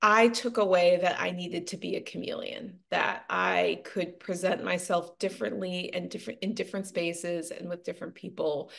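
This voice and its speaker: A woman guest, an actor and TV writer, speaking thoughtfully in an interview, often pausing to search for words. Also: lowish voice, fairly even intonation, creaky, fairly bright tone. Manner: thoughtful, searching for words, frequent ums and restarts. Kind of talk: reflective interview conversation.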